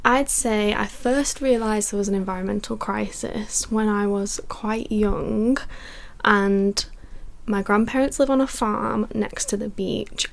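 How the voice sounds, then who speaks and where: A woman's voice: mid-range voice, gravelly. A woman in her twenties giving earnest interview answers, with a habit of saying "like". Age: 20s. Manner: earnest, uses filler "like".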